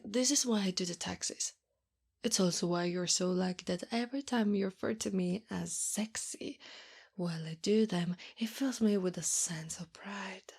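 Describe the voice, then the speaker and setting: A woman playing a doting, teasing, bossy wife who mothers her husband, in a soft, often whispered ASMR roleplay with coaxing pet names and gentle scolding. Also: mid-range voice, creaky, slightly rough. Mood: dry, teasing. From Italy.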